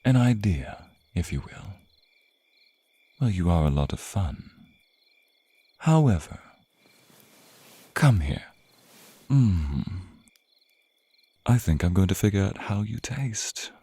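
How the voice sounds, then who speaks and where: Man voicing a suave male vampire, low-pitched, slow, teasing and menacing, theatrical, intimate ASMR roleplay. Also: big pitch swings, warm tone, slightly rough, dry recording, heavily acted. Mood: amused, playful, then seductive, predatory. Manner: theatrical, purring, drawn-out phrasing, often near a whisper. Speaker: roleplay voice performer.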